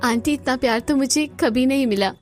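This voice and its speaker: A woman's voice: high-pitched, fairly quick, faint music behind, lightly acted. A woman voicing a kind, earnest young woman, the daughter of a rich company boss.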